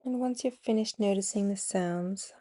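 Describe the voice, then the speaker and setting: A woman guiding a yoga nidra meditation, giving soothing, unhurried instructions with long pauses between phrases. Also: mid-range voice, mellow. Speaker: meditation guide and podcast host.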